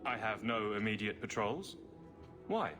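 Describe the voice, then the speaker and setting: A man's voice: on the low side, lively intonation, unhurried, bright, forceful tone, faint music behind. A man playing a plain-spoken, reserved guardsman in a video game cutscene, courteous, hesitant and a little puzzled.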